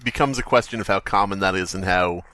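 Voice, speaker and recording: A man's voice: low voice, fast talker, sharp tone, dry recording. A man co-hosting a podcast, chatting casually and riffing jokingly as he picks apart stories. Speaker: podcast co-host. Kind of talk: casual comedic chat and story critique.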